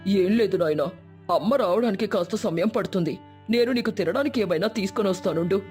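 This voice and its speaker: A woman voicing a gentle, kindly old man in a moral storybook tale. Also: medium pitch, a little fast, faint music behind, lightly acted. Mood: kind, soothing.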